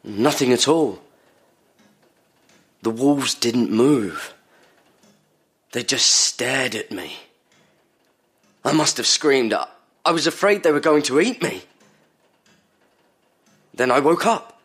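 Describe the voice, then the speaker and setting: Troubled polite patient, radio drama, halting, pitch goes up and down, bit husky. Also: male voice, expressive, a bit bright, full character voice. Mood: haunted, hesitant. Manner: halting, repeating words as he recalls. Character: troubled, polite male patient recounting dreams to analyst. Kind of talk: audio drama acting.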